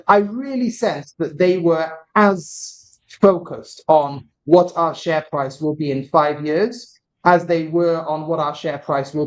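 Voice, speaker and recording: A man, a company CEO, answering interview questions in a thoughtful, halting way and often restarting his phrases. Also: a little high, rough recording. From Britain. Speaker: company CEO, podcast guest.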